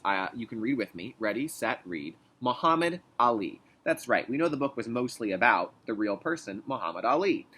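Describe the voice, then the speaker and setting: A man with a slightly rough, husky voice speaks as a teacher to young children. His pitch moves in a very lively way as he reads aloud and explains, with deliberate, instructive wording. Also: rough recording. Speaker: kindergarten teacher.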